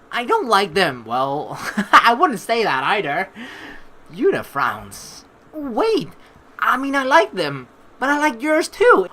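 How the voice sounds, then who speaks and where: A woman's voice: mid-range voice, fairly bright tone. A young woman doing playful, slangy let's play commentary and reading game narration aloud.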